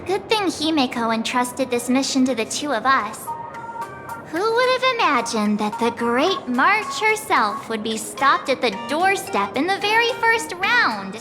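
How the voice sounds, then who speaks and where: A woman voicing a cheerful, excitable young girl adventurer in a video game, bubbly and self-deprecating, with game music behind. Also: high-pitched, full character voice.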